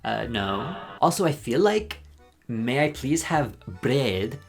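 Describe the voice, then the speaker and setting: Sarcastic guy ranking clips, deadpan rambles, mid pitch, faint music. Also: slow pace, gravelly. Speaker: commentary YouTuber. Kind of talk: ranking commentary.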